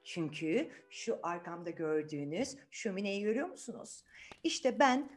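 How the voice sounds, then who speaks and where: Woman as a put-upon kind young fairy-tale heroine, chatty, animated intonation, explaining to children, music behind. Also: fairly low pitch, moderate pace. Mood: confiding.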